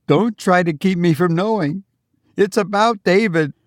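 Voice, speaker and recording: A man's voice: high voice, a little slow, no echo, clean studio sound, pitched above the performer's own voice. A man voicing an anguished, desperate and defiant mother in a dramatic audiobook, urgent and pleading. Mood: steady dread. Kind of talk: dramatic audiobook character acting.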